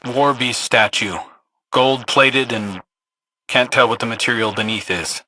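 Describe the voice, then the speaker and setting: A man's voice: medium pitch, measured pace, pushed and bright, no echo, low-quality recording. An actor playing a wry, alert male scouting companion in a video game, speaking expressively and matter-of-factly. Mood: alert, analytical. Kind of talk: scripted video game character dialogue.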